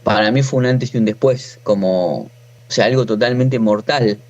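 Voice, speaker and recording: Argentine man, halting, in dull lo-fi sound. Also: on the low side. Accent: Argentine, from Buenos Aires. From Buenos Aires, Argentina. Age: 40s. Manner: halting, often breaks off and rephrases. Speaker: interview guest, natural farmer. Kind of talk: informal personal storytelling.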